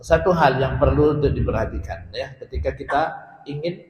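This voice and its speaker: A man's voice: medium pitch, animated, brisk, darkish tone. An Indonesian man giving an informal language lesson to a group, explaining and repeating his points and often checking with his listeners. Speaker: Arabic language teacher. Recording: echoey hall with long reverb.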